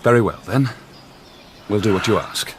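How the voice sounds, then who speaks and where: A man playing a sharp, impatient young amateur detective in a radio drama, with a blunt, wry manner. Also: lowish voice, moderate pace, noisy background, close to an everyday voice. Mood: brisk, wry. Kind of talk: British radio drama acting.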